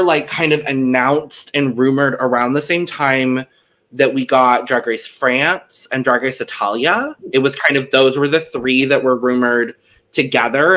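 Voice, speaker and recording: A man's voice: mid-range voice, pushed and bright. A man co-hosting a casual podcast, talking in a rambling, hesitant way with dry humor. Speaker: podcast co-host.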